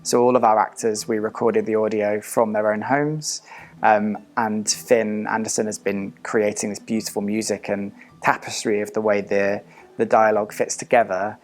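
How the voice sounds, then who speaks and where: A man's voice: on the low side, level tone, moderate pace. A man speaking as a theatre director, explaining a creative process in an interview.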